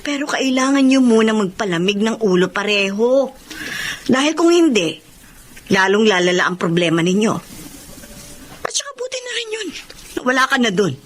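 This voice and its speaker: A woman's voice: a little high, sing-song, moderate pace, long pauses, slight background noise. A Filipino woman playing a protective, disapproving elder sister, firm and reproving, in radio drama style. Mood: firm, advising. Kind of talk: emotional radio drama acting.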